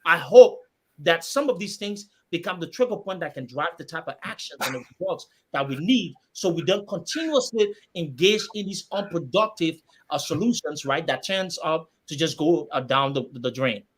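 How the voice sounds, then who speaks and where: A man's voice: a little high, lively intonation, moderate pace, husky, rough recording. A man, a guest laying out his views in a political discussion, explaining step by step with fillers like "right". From Liberia.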